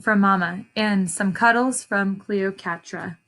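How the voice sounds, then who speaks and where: Slow-paced woman reading aloud to children. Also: medium pitch, a bit bright. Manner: pausing to question and explain to young listeners. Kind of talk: children's read-aloud with explanatory asides.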